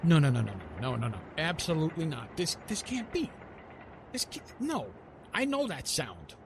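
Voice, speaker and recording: A man voicing a weary, wry retired god of love in an ASMR roleplay, with dramatic, halting delivery and repeated words. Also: a little high, big pitch swings, background noise, pitched above the performer's own voice. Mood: exasperated dismay.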